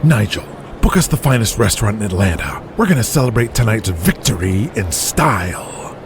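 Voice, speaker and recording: A man playing a vengeful, grandiose wrestling promoter in an audio drama, speaking in a deliberate, menacing manner. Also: fairly low pitch, sing-song, noisy background. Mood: triumphant.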